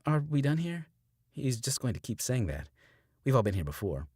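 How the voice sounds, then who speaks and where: A man voicing a tough woman survivor who stays eerily calm before turning menacing. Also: medium pitch, normal speed, slightly rough, no echo, clean studio sound, voice pushed up. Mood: cool.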